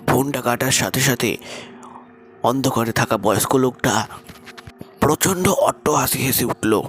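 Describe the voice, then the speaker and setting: Male horror-story narrator. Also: mid-range voice. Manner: measured, steady storytelling.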